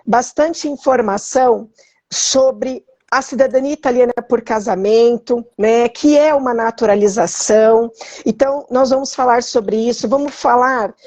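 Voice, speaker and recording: A woman's voice: on the high side, darkish tone, no room sound. A woman hosting a live stream, chatty and effusive, with lots of tag questions to her guest and the audience. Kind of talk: casual live-stream conversation.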